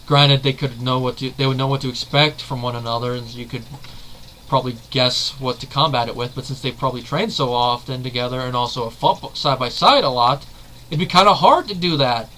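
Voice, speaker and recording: A man's voice: mid-range voice, fairly quick, a bit bright, a little hiss or hum. A man hosting a video, giving casual, rambling fan commentary and correcting himself as he goes. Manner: rambling, leans on the filler "basically"; analytical, self-correcting. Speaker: video host.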